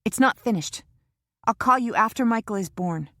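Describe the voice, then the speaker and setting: A woman's voice: fairly high pitch, animated, sharp tone, no room sound, studio quality, pitched above the performer's own voice. A woman voicing an anxious, eager young woman. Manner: eager, pleading, quick to protest.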